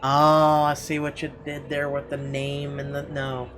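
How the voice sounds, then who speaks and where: A man's voice: a little high, completely flat delivery, a little slow, a bit bright, slight background noise. A man hosting a playthrough, reading narration aloud and dropping in wry, sweary asides. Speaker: let's play host. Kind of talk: reading game narration aloud with off-the-cuff comments. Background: game music.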